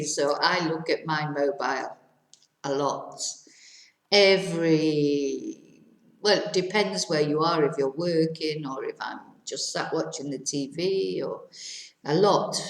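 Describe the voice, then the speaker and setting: A middle-aged woman, teaching to Spanish speakers, repeating each question slowly and clearly. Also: sits low, reverberant, low-quality recording. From England. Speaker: English teacher. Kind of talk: language lesson for Spanish speakers.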